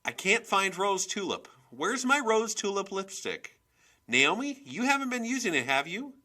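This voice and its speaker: A man voicing a volatile, domineering mother in a storybook reading, with a sharp, scolding, emphatic manner. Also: sits high, very animated, moderate pace, pitched above the performer's own voice, exaggerated voice. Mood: angry, bossy.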